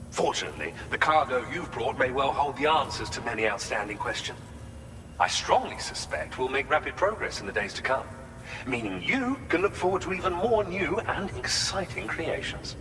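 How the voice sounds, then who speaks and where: A man performs an eager, courteous researcher for a video game cutscene. His mid-pitched voice moves in very animated swings as he explains things in formal, articulate language. The sound carries a long reverb over clearly audible background noise. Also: close to an everyday voice. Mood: eager, grateful. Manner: formal, articulate, erudite phrasing. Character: courteous, eager male vehicle and weapons researcher. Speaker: researcher character.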